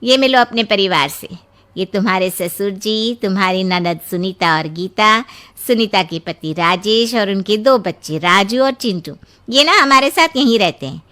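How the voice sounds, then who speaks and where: A woman voicing a brisk, matter-of-fact, bossy in-law from a big household in a Hindi cartoon story. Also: medium pitch, expressive, pushed and bright.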